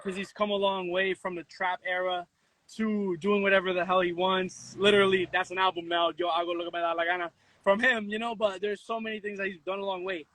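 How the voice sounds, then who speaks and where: High, bright New man. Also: a little fast, slight background noise, low-quality recording. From the Bronx, New York. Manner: animated storytelling, slangy, switches into Spanish. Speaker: interview guest, content creator. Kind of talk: casual, opinionated chat.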